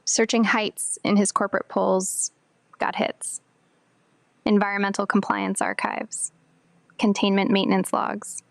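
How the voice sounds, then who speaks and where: A woman's voice: mid-range voice, level tone, unhurried, gravelly, no echo. An actress playing a laconic young woman hacker who speaks in terse, cryptic statements. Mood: deadpan. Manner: terse, cryptic, matter-of-fact. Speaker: hacker in a group of conspiracy theorists. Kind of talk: audio drama acting.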